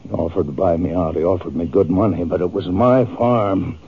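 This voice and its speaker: An actor playing a wounded, bitter, grieving Texas farmer in an old radio western, speaking in a rustic, halting, strained way. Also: male voice, sits low, husky. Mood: bitter, resentful. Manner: rustic, halting, weary speech. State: injured, strained. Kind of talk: 1950s radio western acting. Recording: narrow, muffled old-time radio recording.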